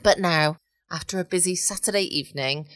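Low-voiced woman, cosy podcast host. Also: fairly quick, fairly bright tone, no echo, studio quality. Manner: cosy, chatty, self-questioning asides. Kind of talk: relaxed bedtime podcast chat.

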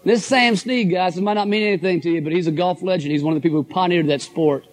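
A middle-aged man with a hoarse voice, giving an enthusiastic, folksy motivational talk to a live audience. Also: sits high, quick pace. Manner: folksy, enthusiastic, addresses crowd as guys and folks. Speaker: motivational speaker at a business convention.

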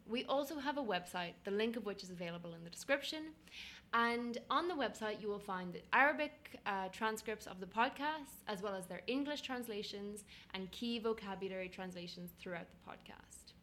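A woman from Ireland, hosting a language podcast in a clear, measured, scripted way. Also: medium pitch, a bit bright, slight background noise. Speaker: podcast host. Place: room in a city with traffic outside.

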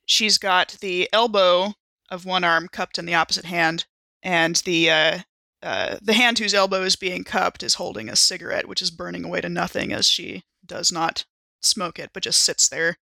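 A woman's voice: on the low side, steady tone, normal speed, vocal fry, bright, forceful tone, no echo. A woman playing a tabletop game, thinking aloud as she describes her character.

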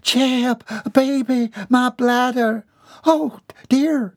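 Guy doing a hillbilly mama, way up high, sweet country drawl, bit fretful, audiobook character. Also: steady tone, drawn-out words, dry recording, clean studio sound, heavily acted. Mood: urgent. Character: folksy, anxious hillbilly mother, polite and urgent.

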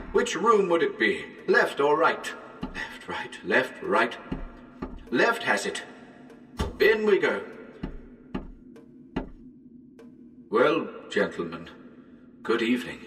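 A man playing a radio broadcaster unravelling into madness in a haunted house, halting and muttering, then breaking into exclamations. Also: medium pitch, sharp tone, voice pushed up, exaggerated voice. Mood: frightened, agitated. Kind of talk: dramatic reading of a live radio broadcast.

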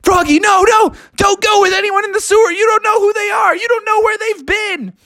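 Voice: extremely high pitch, fairly even intonation, brisk, bright, forceful tone, no room sound, raised voice, studio quality, voice pushed up, full character voice. A storyteller voicing a worried young friend who pleads and urges insistently.

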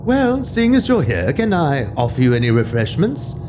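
A courteous, faintly ominous butler from an old estate in an audio drama. His pitch swoops wildly through formal, theatrical phrases, lonely beneath the politeness. Music plays clearly behind him. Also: very animated, normal speed. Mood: hospitable, bored.

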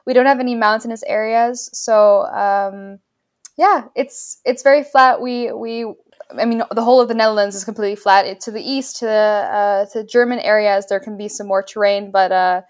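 A woman's voice: mid-range voice, fairly even intonation, normal speed. A young woman from Tanzania, a pilot guest answering interview questions enthusiastically with many fillers and restarts. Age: young adult.

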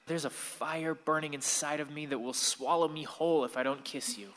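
An actor playing a gentle, earnest, watchful grandson in a radio play. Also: male voice, a little high, steady tone, normal speed, close to an everyday voice. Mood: ardent. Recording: long hall-like reverb.

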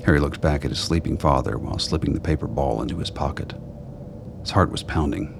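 A man's voice: very deep voice, fairly quick. A man narrating a scripted radio drama. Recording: clear background noise.

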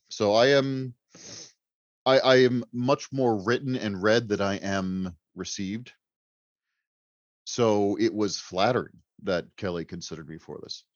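A man with a low voice talks casually as an author on a panel. He is wordy, articulate and self-deprecating. The recording is dry and a little muffled. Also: no room sound.

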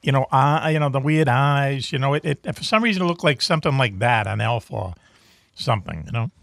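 A man's voice: medium pitch, fairly quick, vocal fry, no room sound. Man, talk show host, dry close sound, easygoing, repeats words as he starts sentences. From New England. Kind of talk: casual interview.

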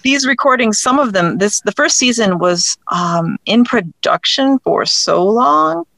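A woman speaking as an interview guest in casual conversation, thinking aloud in a halting way. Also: fairly high pitch, animated, vocal fry, fairly bright tone, no echo. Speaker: guest, audio drama creator.

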